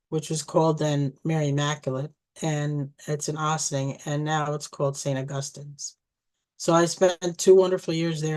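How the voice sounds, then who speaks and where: A woman in her sixties, originally from Egypt, telling her life story in a casual, reminiscing way, pausing and restarting often and laughing softly now and then. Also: low voice. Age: 60s. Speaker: family storyteller.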